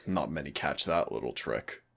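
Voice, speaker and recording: A man's voice: extremely low pitch, lively intonation, moderate pace, vocal fry, a bit bright, exaggerated voice. A man playing a smug, predatory demon trainer who taunts and commands in a scripted roleplay audio. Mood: predatory, playful. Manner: taunting, commanding, mock-courteous. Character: teasing, predatory male demon hunter-trainer, smug and dangerous.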